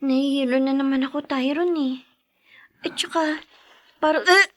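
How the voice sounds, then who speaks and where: An actress with a Filipino accent playing a pouty, defiant young student sister, whiny and snappy, in a podcast drama. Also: female voice, high voice, normal speed, fairly bright tone. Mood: worried.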